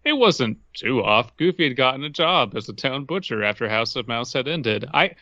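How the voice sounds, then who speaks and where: A man's voice: medium pitch, sing-song, normal speed, bright, forceful tone, dry recording, dull audio. A man co-hosting a comedy podcast, reading and bantering casually in a wry manner. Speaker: podcast co-host.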